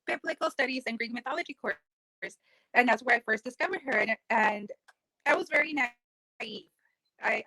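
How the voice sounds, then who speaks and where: Earnest woman on a video call, bright higher voice, dry sound. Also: dry recording, rough recording. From Rio Grande Valley, South Texas. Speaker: panelist poet. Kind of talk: casual panel discussion. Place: home room.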